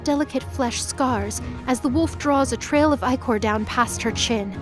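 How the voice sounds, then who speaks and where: A woman's voice: high-pitched, unhurried, music in the background. A woman narrating a scripted audio drama with intense, vivid storytelling.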